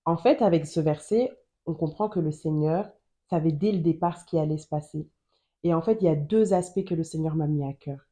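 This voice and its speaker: Earnest woman sharing faith, mellow low voice. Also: fairly quick. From Germany. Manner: earnest, repeats phrases for emphasis. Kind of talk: personal testimony and Bible teaching.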